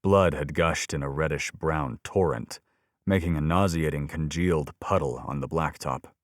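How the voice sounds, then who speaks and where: A man's voice: very deep voice, normal speed, dry recording, studio quality. A man reading scripted first-person crime fiction as an audiobook narrator, telling it as a burned-out detective.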